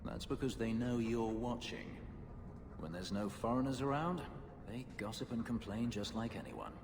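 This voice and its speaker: An actor playing an earnest, opinionated companion with a serious manner in a fantasy video game. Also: male voice, on the low side, very animated, moderate pace. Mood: challenging. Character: earnest brooding male companion, opinionated freedom lover. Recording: echoey hall reverb, noisy ambience behind.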